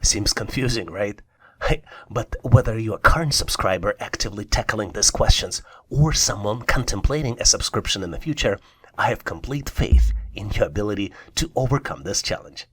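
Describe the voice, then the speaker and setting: Low-pitched male tutorial narrator. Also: expressive, moderate pace, a bit bright, breathy edge, no room sound. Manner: encouraging, addresses the listener directly. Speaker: online test-prep instructor. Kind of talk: scripted puzzle tutorial narration.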